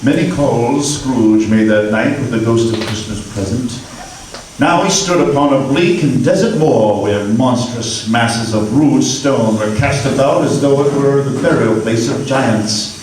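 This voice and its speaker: A man's voice: mid-range voice, distant mic, a little hiss or hum. Man narrating on an echoey stage. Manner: measured, expressive. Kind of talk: dramatic storytelling narration. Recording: echoey live stage from afar.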